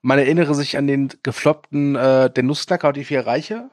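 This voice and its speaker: A man's voice: mid-range voice, steady tone, moderate pace, darkish tone, dry recording, clean studio sound. A man co-hosting a casual film news podcast, talking with frequent hesitations and filler sounds. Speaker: podcast co-host.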